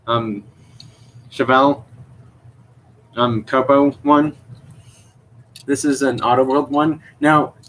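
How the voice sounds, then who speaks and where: A man's voice: medium pitch, a bit bright, slight background noise, low-quality recording. A young man chatting on a live stream about his toy car collection, rambling with many fillers and unsure self-corrections. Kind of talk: casual live stream show-and-tell. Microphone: computer mic.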